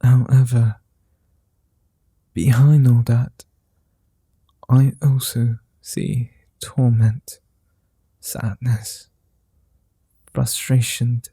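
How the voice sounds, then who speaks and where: Man doing a slow, whispering vampire seducer. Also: mid-range voice, little pitch movement, slightly rough, no room sound, exaggerated voice. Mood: tender whispering. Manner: slow, soft and often whispered; old-fashioned formal speech. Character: flirty, tender male vampire seducer. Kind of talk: ASMR roleplay. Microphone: close mic.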